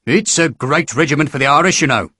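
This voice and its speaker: A man voicing a boastful, jovial recruiting sergeant who flatters young men with a theatrical, wheedling manner. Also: on the high side, fast, dry recording, studio quality.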